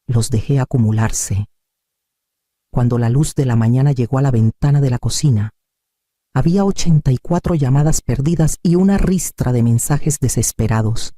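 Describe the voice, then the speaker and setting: A man reading a scripted first-person dramatic story in measured, deliberate short phrases. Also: mid-range voice, dark tone, no echo. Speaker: story narrator.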